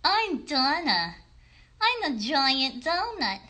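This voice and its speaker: A woman voicing a friendly giant donut puppet with slow, drawn-out words. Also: high-pitched, very animated, bright, forceful tone, heavily acted. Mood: friendly greeting.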